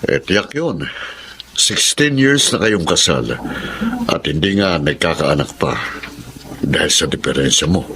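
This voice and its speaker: An actor playing a blunt, teasing friend in a radio drama. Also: male voice, a bass voice, animated, unhurried, long pauses, noisy background, heavily acted.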